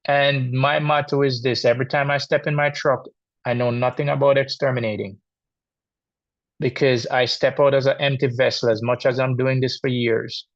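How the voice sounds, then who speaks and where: A Jamaican man with a gravelly edge in his voice, talking about his trade in a down-to-earth way to a community audience. The recording sounds somewhat dull and lo-fi. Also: medium pitch, little pitch movement, moderate pace. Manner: plain-spoken and practical, with many restarts. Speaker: guest speaker, exterminator. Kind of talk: informal talk to a church group. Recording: dull, lo-fi audio.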